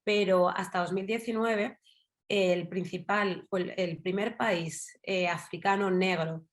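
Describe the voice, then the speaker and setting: A woman's voice: fairly low pitch, fairly even intonation, a little slow. A woman from Spain with a Madrid accent speaks as a guest in an online discussion. She thinks aloud and drifts into asides, with many fillers and tag questions. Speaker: journalist guest. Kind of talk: casual talk in an online panel.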